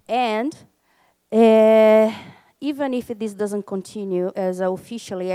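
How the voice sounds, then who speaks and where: A woman with a Brazilian accent, in her thirties, speaks to an audience at a conference. Her voice is smooth and clear, and her delivery is hesitant, with frequent fillers and restarts. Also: medium pitch, mellow. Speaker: alumni ambassador speaker. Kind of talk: conference talk with Q&A. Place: conference room.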